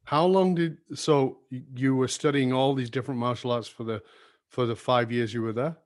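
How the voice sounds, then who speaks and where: A man's voice: medium pitch, steady tone, normal speed. A man interviewing a guest in a friendly, encouraging way, asking follow-up questions and giving brief acknowledgements. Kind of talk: conversational interview.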